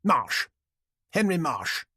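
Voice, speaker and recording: A man's voice: fairly high pitch, sing-song, slow, bright, forceful tone, breathy edge, no echo, studio quality, voice pushed up, exaggerated voice. A man voicing an indignant, irritable middle-aged British neighbour in an audiobook, with a clipped, pompous manner. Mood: stiff.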